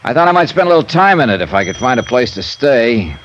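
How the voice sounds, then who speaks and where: An actor playing a breezy, wry, cool-headed insurance investigator in 1950s radio detective style. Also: male voice, medium pitch, big pitch swings, quick pace, a little hiss or hum. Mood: friendly, casual. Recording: muffled vintage radio recording, loud music.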